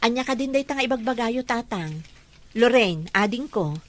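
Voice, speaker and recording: A young woman with an Ilocano Filipino accent playing a forgiving, excitable elder sister in an audio drama. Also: on the high side, moderate pace, natural voice. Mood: curious, surprised. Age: young adult. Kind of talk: audio drama acting in dialogue.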